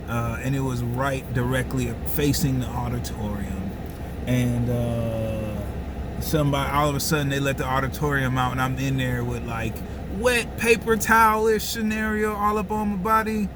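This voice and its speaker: A man's voice: medium pitch, a little slow. Man telling casual stories over noticeable background noise. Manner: rambling, repeats phrases for dramatic effect; slangy, conversational asides. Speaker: storyteller and host. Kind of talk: casual comedic personal storytelling.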